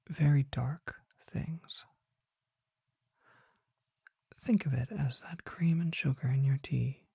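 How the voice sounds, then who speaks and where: Mysterious vampire merchant for ASMR audio drama, acted out: very breathy whisper, slow and mellow, formal and gently courteous. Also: medium pitch, no room sound, lo-fi sound, exaggerated voice. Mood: grave, musing. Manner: formal, polite, unhurried, old-fashioned phrasing.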